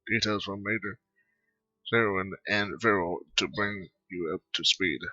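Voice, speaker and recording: A man giving low-key, casual commentary on a video game and reading dialogue choices aloud. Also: steady tone, measured pace, pushed and bright, no room sound, muffled recording. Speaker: gamer narrating a playthrough.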